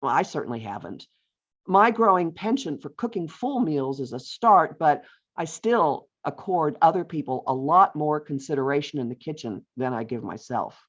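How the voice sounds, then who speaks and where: A woman's voice: deep voice, lively intonation, normal speed. Mature woman reading aloud, slightly muffled audio. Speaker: author reading from own book. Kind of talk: expressive reading aloud of a humorous essay. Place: virtual author talk over video call. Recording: dull, lo-fi online call audio.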